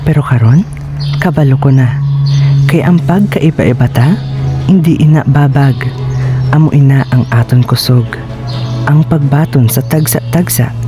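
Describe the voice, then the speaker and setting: Male actor as young model, hopeful, heartfelt, Filipino accent, mid-range pitch, radio drama monologue over music. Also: natural voice.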